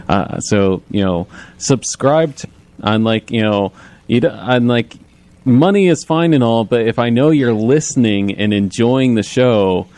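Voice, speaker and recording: A man chatting casually as a radio interview guest, rambling and halting as he promotes his own work. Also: mid-range voice, darkish tone, dry recording. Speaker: interview guest, audio series creator.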